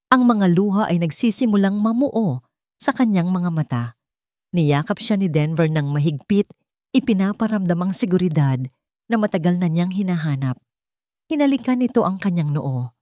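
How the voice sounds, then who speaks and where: A woman's voice: fairly low pitch, warm tone, dry recording, studio quality. A woman reading dramatic scripted narration as an audio storyteller.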